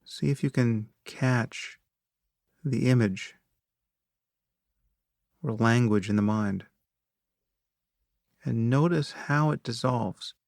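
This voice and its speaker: A man narrating a guided meditation in a measured, instructive manner, speaking in short phrases with long pauses between them. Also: mid-range voice, vocal fry, a little mellow, dry recording, studio quality.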